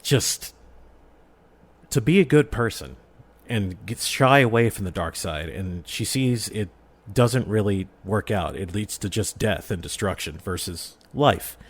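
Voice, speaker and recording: A man's voice: on the low side, lively intonation, unhurried, breathy edge. A man talking casually as a podcast guest, explaining his views in an earnest, rambling way. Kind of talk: casual fan discussion.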